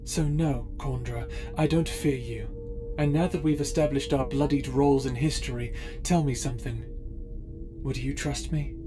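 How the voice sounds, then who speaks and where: A man playing a stern, guilt-ridden space pirate officer in an audio drama, with measured, formal speech. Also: mid-range voice, steady tone, natural voice. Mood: grave confession. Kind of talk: audio roleplay drama acting. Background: background music.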